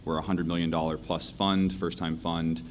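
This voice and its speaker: A man introducing himself on a conference panel as a venture fund partner, upbeat and pitch-like. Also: level tone, normal speed, slight background noise.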